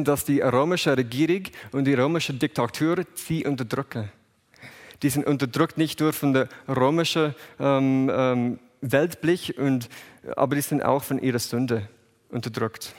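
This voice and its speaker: A man who grew up in England and the USA, with English as his first language, reads scripture and preaches in German with an English accent, pausing often and restarting words. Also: mid-range voice, big-room sound. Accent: English-speaking. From England, raised in USA. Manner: halting non-native German with restarts. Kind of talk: bible reading and sermon.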